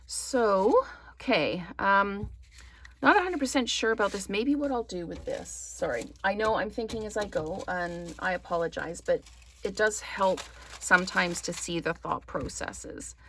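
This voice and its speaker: A woman hosting a craft tutorial, slightly hoarse, chatting in a casual, apologetic way and thinking aloud with frequent ums. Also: medium pitch, expressive, measured pace, fairly bright tone, slight background noise. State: slightly hoarse, losing her voice. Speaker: crafting channel host.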